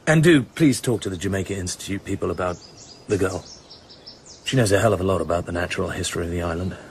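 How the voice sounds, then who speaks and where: An actor playing a terse, brooding British secret agent in a radio drama, with an English accent and a clipped, understated manner. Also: male voice, sits low, quick pace, slight background noise. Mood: clipped, restrained.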